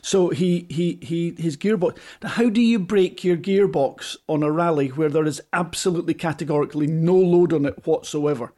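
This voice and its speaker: A British man co-hosting a rally podcast, talking in an animated, emphatic way with frequent restarts. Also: on the high side, normal speed, hardly any pauses. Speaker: podcast co-host, rally pundit. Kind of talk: casual podcast chat.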